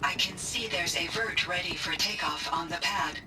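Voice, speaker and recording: A woman's voice: lowish voice, recorded from a distance, over loud music, natural voice. A woman as a formal, earnest female AI. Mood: calm, dutiful. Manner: polite, measured, formal.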